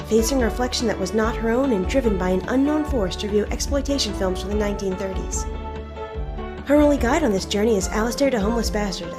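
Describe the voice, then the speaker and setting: A woman giving scripted, earnest narration for a dramatic show intro. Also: mid-range voice. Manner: earnest, dramatic storytelling delivery.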